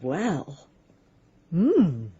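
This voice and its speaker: A woman's voice: sits low, huge pitch swings, dark tone, breathy edge, lo-fi sound, heavily acted. An actress playing a musing woman in a radio drama, pondering her words as she goes. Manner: slow, pondering delivery.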